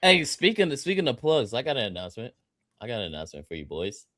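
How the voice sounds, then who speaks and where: A thirty-year-old American man chatting on a livestream. His voice is medium-pitched and recorded dry and close. He talks in a boisterous, slangy way and likes to repeat his phrases for emphasis. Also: huge pitch swings, fast talker, sharp tone, dry recording. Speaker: livestream co-host. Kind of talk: casual joking livestream chat.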